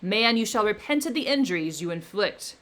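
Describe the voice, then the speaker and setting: A woman reading aloud the lines of a lonely, vengeful male creature, declaiming them loudly and forcefully. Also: medium pitch, expressive, normal speed.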